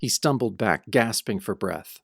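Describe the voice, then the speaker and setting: A man playing a brooding, tough hero who narrates an audio drama in the first person with grim, deliberate delivery. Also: fairly low pitch, animated, vocal fry, fairly bright tone, dry recording, studio quality, full character voice. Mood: grim, tense. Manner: grim, deliberate hardboiled storytelling. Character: brooding tough male hero recounting his nightmare. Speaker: narrator and protagonist.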